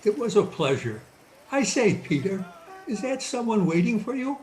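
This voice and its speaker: A woman's voice: medium pitch, big pitch swings, moderate pace, a little mellow, close to an everyday voice, low-quality recording. A woman playing a friendly, inquisitive young lady in an old-time radio drama. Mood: friendly, curious. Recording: home video-call audio, music behind.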